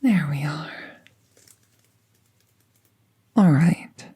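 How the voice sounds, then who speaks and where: A woman playing a gentle, playful fae veterinarian in a soft, soothing ASMR roleplay, with breathy, whispery speech and formal wording. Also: sits low, animated, a little slow, heavily acted. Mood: careful, reassuring. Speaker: audio roleplay performer.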